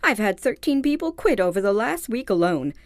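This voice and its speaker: A well-mannered store heiress in a radio mystery. She is earnest and anxious, choosing formal words with a dramatic flair. Her mid-pitched voice sits in a dry, close recording. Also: big pitch swings, brisk, dry recording. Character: polite, anxious female department store heiress seeking help. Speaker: actor in an audio drama.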